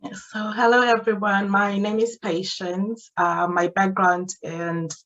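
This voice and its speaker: A woman assistant psychologist, hoarse from a cold, introducing herself calmly on a webinar. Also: medium pitch, unhurried. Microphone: video call mic. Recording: dull lo-fi call audio.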